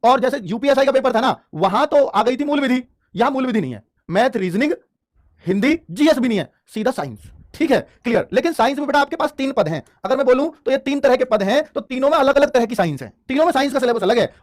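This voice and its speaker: A man's voice: very high-pitched, very animated, quick pace. A man giving an informal explainer lesson as a coaching teacher, emphatic and repetitive, often checking in with his students.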